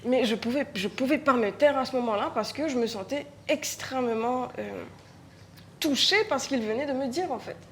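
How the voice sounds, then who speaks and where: A Mauritian woman with a Mauritian French accent, a writer speaking reflectively on a live conference panel, often pausing and repeating words as she searches for them. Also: sits high, a little hiss or hum.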